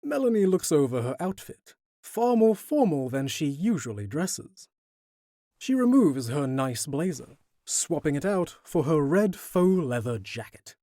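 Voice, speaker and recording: A man's voice: on the high side, very animated, unhurried, warm tone, no echo, clean studio sound. A man reading scripted, descriptive narration for an audio drama.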